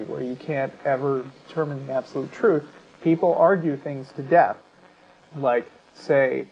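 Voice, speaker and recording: A man co-hosting a talk show, giving unscripted commentary in a halting, self-correcting, argumentative way. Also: on the high side, a little mellow. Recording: dull sound with clear background noise.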